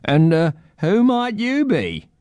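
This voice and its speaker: Eccentric old bird-man for storybook male reader performing, raised pitch, folksy and friendly. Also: wildly swooping pitch, slow, warm tone, no room sound, full character voice. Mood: friendly, odd.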